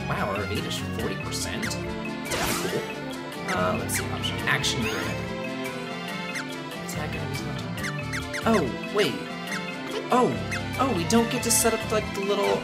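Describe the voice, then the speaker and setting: A chatty, playful let's play host giving casual, exclamatory commentary on a video game. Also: mid-range voice. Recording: game music behind the voice. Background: video game music.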